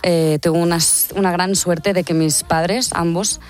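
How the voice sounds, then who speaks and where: A woman's voice: fairly low pitch, steady tone, unhurried, soft background music. A young woman from Catalonia, a singer, talking casually and enthusiastically in an interview, often checking in with "no?". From Catalonia, Spain. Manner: chatty, enthusiastic, frequent filler "¿no?".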